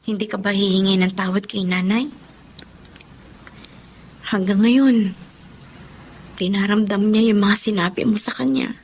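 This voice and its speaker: A Filipino actress playing an earnest, pleading younger daughter in a radio drama. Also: female voice, mid-range voice, steady tone, darkish tone, breathy edge. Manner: measured, pleading. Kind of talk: Tagalog radio drama acting. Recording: studio drama with music underneath.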